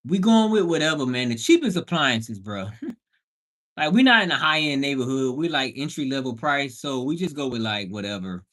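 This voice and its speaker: Animated, slangy man hosting a livestream. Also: mid-range voice, moderate pace. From Bahamian background. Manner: slangy, playful, emphatic exclamations. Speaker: livestream host and house flipper. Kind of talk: casual livestream chat.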